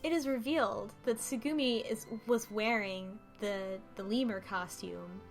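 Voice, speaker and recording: A woman's voice: mid-range voice, a little slow. A woman co-hosting a casual discussion, recapping and commenting on a game's story. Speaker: podcast co-host recapping the plot. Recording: faint music behind the voice.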